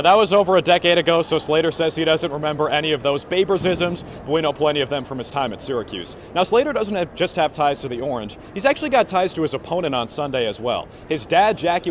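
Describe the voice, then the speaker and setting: A man in his early twenties working as a sportscaster, delivering play-by-play commentary and sports reports. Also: fairly high pitch. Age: early 20s. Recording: clear background noise from the venue.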